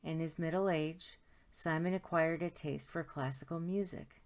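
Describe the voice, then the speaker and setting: A woman narrating a children's picture book aloud in an expressive storytime style, stretching words for emphasis. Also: deep voice, moderate pace. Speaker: storytime host reading aloud.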